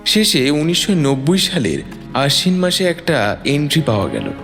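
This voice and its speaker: Expressive male narrator with long reverb. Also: fairly high pitch, moderate pace. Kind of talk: scripted dramatic story narration. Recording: long reverb, music bed.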